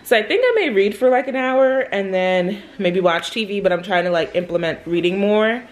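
A woman's voice: mid-range voice, lively intonation, normal speed. A young woman, chatting casually to camera at home in a rambling vlog, with lots of fillers. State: slightly nasal, a bit congested. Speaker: vlogger. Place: living room and kitchen at home. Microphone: handheld camera at arm's length.

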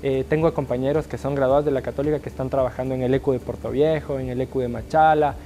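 A young man from Guayaquil who speaks with an Ecuadorian highland accent, giving an earnest, upbeat testimonial in a small studio, often restarting his phrases. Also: fairly even intonation, fast, warm tone, slight background noise. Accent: Ecuadorian highland (serrano). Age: young adult. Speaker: alumnus interviewee. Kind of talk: sit-down testimonial interview.

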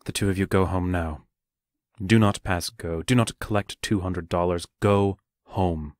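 A stern male teacher acted by a man in an audio play. His voice is very low and closely recorded, and he scolds in a firm, measured manner. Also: fairly even intonation, dry recording, studio quality.

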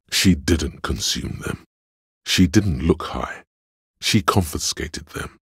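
An actor playing a detective's inner voice of logic in a video game, a grave, analytical man with a gravelly rasp and a deliberate, dry, detached delivery. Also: extremely low pitch, dry recording, full character voice.

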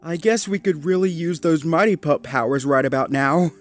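A man as an anxious, high-pitched Dalmatian pup. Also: lively intonation, slow pace, darkish tone, soft background music. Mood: wry. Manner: hesitant, pleading. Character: anxious, loyal male cartoon Dalmatian firefighter pup.

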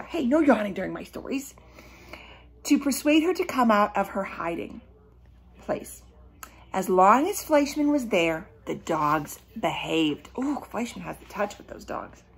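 A middle-aged woman reading a children's book aloud, animated and emphatic, breaking off to question her young listeners. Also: mid-range voice, slightly rough. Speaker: storybook reader for children. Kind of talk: children's read-aloud with asides. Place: small room at home, indoors.

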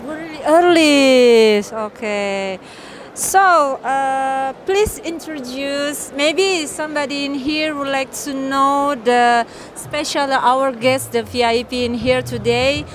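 A woman's voice: sits high, slow, a little hiss or hum. A young woman hosting an interview at a trade fair booth, upbeat with broken English phrasing, into a handheld mic. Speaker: event interviewer.

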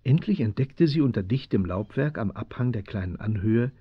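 An actor playing the composed narrator of a German children's adventure radio play, reading scripted narration. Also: male voice, lowish voice, animated, normal speed, husky, dry recording. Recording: dull, dry 1970s audio drama recording.

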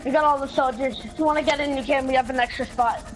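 Casual gamer, high voice, stumbling improvised roleplay delivery, slight noise behind. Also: fairly even intonation, low-quality recording. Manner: halting, repeats words and restarts. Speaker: player in a multiplayer game roleplay. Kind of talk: casual improvised roleplay chat during gameplay.

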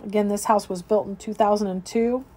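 A woman's voice: medium pitch, fairly even intonation, brisk, warm tone, husky. A woman real estate agent narrating an informal, unscripted walkthrough of a home, describing each room as she moves through it. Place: indoors, moving through rooms of a house.

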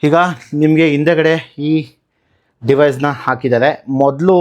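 A man's voice: a little high, fairly even intonation, a little slow, darkish tone. A man from Karnataka, India, talking casually as a vlogger through a hands-on tutorial, explaining by posing questions and answering them. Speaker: motovlogger, channel host.

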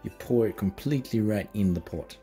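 A man's voice: fairly low pitch, fairly quick, dark tone. Zambian guy in his 30s doing a home cooking video, chatty, lots of "mm?" and "uh", music going in the back. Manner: chatty and encouraging, many fillers and questioning "mm?" tags. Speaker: cooking channel host. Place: small home kitchen, indoors.

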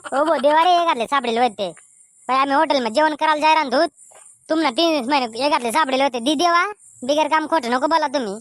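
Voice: sits high, quick pace, fairly bright tone, dry recording. A performer voicing a pushy, suspicious villager in a comedy cartoon, with a Khandeshi Ahirani accent. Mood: pleading. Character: pushy, suspicious villager demanding lost money back.

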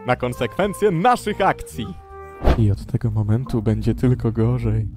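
A man voicing a manic, gleeful cartoon ringmaster with theatrical announcer patter in an animated fan dub. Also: medium pitch, measured pace, over music. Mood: gleeful, showy.

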